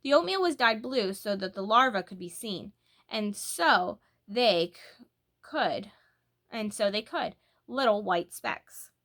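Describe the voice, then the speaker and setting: A woman reading a children's book aloud as a storyteller, in an expressive, animated way that leans on key words. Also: mid-range voice, takes it slowly.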